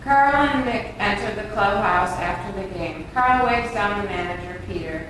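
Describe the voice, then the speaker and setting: A woman's voice: medium pitch, moderate pace. A woman reading stage directions as scripted narration at a table read. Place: reverberant room, far from mic. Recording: echoey room, distant mic, faint noise.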